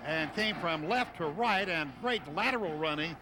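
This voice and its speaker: A high-voiced man works as a radio football announcer, calling each play in clipped, excitable bursts. The broadcast is noisy, with clear stadium ambience behind him. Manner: excitable, calls plays in short rapid phrases. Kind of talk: live football play-by-play commentary. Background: loud stadium ambience.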